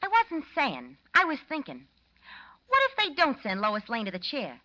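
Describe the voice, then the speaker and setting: A brassy young gun moll in a vintage radio crime serial. Her American voice is highish, bright and lively, full of gangland slang. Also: normal speed, full character voice, poor audio. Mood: uneasy. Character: brassy young female gun moll, anxious yet gleeful. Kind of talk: 1940s radio crime acting. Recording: old radio recording with music.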